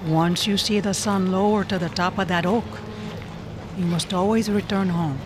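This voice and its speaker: An actress playing a protective, firm mother in an audio drama, speaking in solemn, measured warnings. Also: medium pitch, fairly even intonation, background noise, lightly acted. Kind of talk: immersive audio drama acting.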